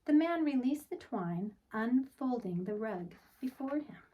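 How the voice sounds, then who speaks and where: A woman reads a picture book to young children at a slow, deliberate pace. Her delivery is expressive, with soft phrase endings, and she sounds a little way off from the microphone. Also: on the high side, far from the mic, dull audio. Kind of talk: storytime read-aloud for children.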